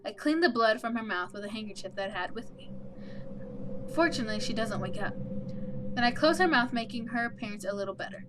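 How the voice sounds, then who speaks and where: A woman reading out the part of an anxious, lost young protagonist in a horror visual novel, measured and subdued. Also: on the high side, lively intonation, heavy background noise, natural voice. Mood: pensive. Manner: measured, subdued, stumbles over some words.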